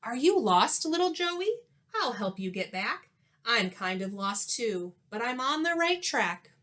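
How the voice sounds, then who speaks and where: A woman's voice: on the high side, big pitch swings, a little slow, fairly bright tone. A woman voicing a plucky, determined little gingerbread boy in a children's storybook, with sing-song, exclaiming delivery. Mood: kind, encouraging. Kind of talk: children's storybook read-aloud.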